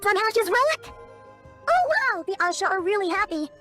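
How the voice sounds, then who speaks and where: A woman voicing a tiny, excitable, childlike fairy companion in a video game, with a squeaky voice and naive, exclamatory manner. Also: moderate pace, soft background music, heavily acted. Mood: amazed, curious. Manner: exclamatory, naive, refers to self in third person. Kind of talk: animated video game voice acting.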